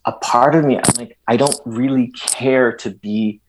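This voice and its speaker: A middle-aged man from El Salvador, a guest in a conversational interview, talking in an animated way with frequent restarts and emphatic asides. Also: mid-range voice, moderate pace, background noise.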